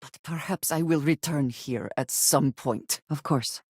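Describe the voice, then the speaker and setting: An actress playing a guarded, solemn woman companion in a space adventure video game, speaking in formal, measured phrases. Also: sits low, darkish tone, slightly rough, no echo, clean studio sound. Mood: dry, reserved. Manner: formal, measured speech without contractions. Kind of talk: scripted video game voice acting.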